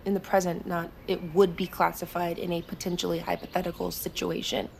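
A woman's voice: on the low side, flat delivery, fairly quick, creaky, a little mellow, reverberant, a little hiss or hum. A woman playing a blunt, grieving young army private in an audio drama, with an American accent and an argumentative, fiercely insistent way of talking. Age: young adult.

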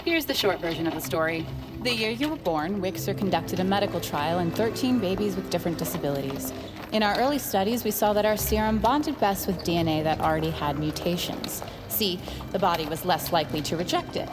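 An actress playing a cold, smug scientist villain in an audio drama, condescending and gloating beneath a soothing front. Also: female voice, on the low side, a little fast, natural voice. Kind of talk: scripted audio drama acting. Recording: dramatic music behind the voice.

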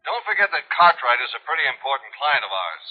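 Husky, businesslike insurance man. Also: mid-range voice, sing-song, fast talker. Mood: earnest, urgent. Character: businesslike male insurance company official, earnest. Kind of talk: 1950s radio drama acting. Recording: tinny, narrow old radio recording.

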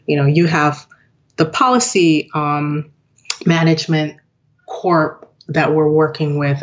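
Guest interviewee, a low-pitched woman, conversational, often laughing and voicing other people. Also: muffled recording. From born in Jamaica, raised in western New York. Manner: chatty, laughs often, acts out quoted voices. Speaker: guest, digital product owner. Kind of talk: casual interview chat.

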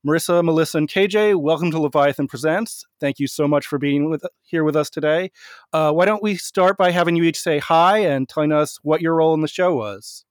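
A man's voice: on the high side, a little fast, clear, resonant tone, dry recording, clean studio sound. A man hosting a podcast, introducing guests and asking questions in a friendly, structured interview style.

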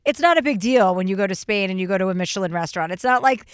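A woman's voice: medium pitch, lively intonation, very fast, dry recording, studio quality. A woman hosting a podcast, telling stories in casual chat, swearing freely and mimicking people she quotes. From United States. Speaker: podcast host.

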